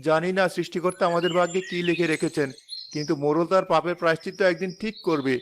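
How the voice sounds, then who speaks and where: Man as an earnest, fast-talking poor villager. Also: a little high, fairly even intonation, a bit bright, slight background noise, natural voice. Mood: sorrowful, resigned. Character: poor, devout, hardworking male villager. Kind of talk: animated moral story voice acting.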